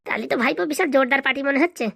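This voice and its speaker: A woman's voice: high-pitched, very fast, vocal fry, fairly bright tone, no room sound, studio quality, heavily acted. A woman voicing a gullible, money-worried village uncle in comic cartoon dialogue, inquisitive and stammering now and then. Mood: pleased, eager.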